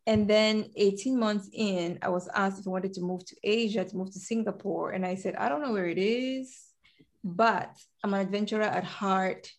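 A woman in her forties from Cameroon, a guest in an interview, telling her story in an animated way and laughing easily. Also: mid-range voice, normal speed. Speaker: guest, compliance director. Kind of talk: conversational interview.

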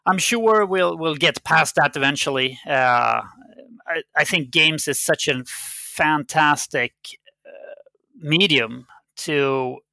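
A middle-aged man from Sweden, a native Swedish speaker with a Swedish accent, answering interview questions conversationally in a halting, hesitant way. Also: fairly high pitch, creaky, pushed and bright, no room sound. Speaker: interview guest, game company CEO.

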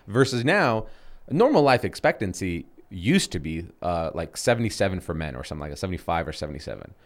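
Man, thirties, lowish voice, casual co-host who rambles and restarts his sentences. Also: big pitch swings, quick pace. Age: 30s. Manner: rambling, many restarts and fillers. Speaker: podcast co-host. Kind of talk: casual chat.

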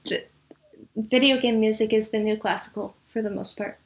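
Female interview guest with a slightly high voice, a creative type chatting informally and conversationally. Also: steady tone, moderate pace. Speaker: interview guest, composer and audio drama producer. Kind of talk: casual interview chat.

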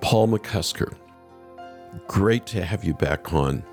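A man's voice: low-pitched, lively intonation, slow, darkish tone, faint music behind. A man hosting a podcast, interviewing and addressing listeners in a conversational style. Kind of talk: conversational interviewing and show sign-off.

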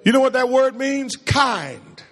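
Husky-voiced preacher, slow and animated. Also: male voice. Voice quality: calls his own voice a baritone. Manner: preachy, asks the congregation questions and answers them. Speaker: pastor. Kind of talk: call-and-response sermon. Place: church sanctuary. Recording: long hall reverb.

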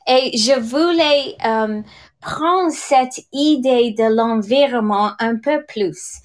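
Slow, hesitant woman in her sixties. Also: fairly high pitch. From England. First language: English. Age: 60s. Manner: hesitant, many fillers and restarts. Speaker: direct sales leader. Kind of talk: podcast testimony.

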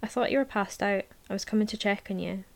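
A woman's voice: mid-range voice, fast talker, vocal fry, natural voice. A woman voicing a prickly, self-conscious young female university student who talks bluntly and defensively. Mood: awkward.

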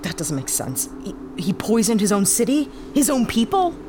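An actress playing a skeptical, questioning human spacefarer in a sci-fi audio drama, blunt and easily exasperated. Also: female voice, mid-range voice, big pitch swings, moderate pace, background noise, natural voice. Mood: incredulous. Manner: blunt, incredulous.

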